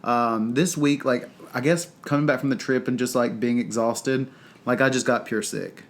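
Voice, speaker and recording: A man's voice: mid-range voice, fairly even intonation, moderate pace. A man with a Southern US accent, congested and stuffy-nosed, chatting casually as a podcast co-host in a rambling way. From North Carolina, US. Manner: rambling, chatty, lots of fillers. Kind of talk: casual chat.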